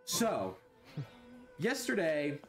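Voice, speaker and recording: A man's voice: on the high side, huge pitch swings, extremely slow, soft background music. A young man streaming a video game from his bedroom on a headset mic, rambling, scattered and swearing a lot. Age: young adult. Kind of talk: casual gameplay commentary.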